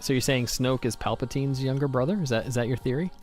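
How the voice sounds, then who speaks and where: A man's voice: medium pitch, steady tone, fast, darkish tone, faint music behind. A man hosting a light-hearted quiz interview in a jokey, conversational way. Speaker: podcast host.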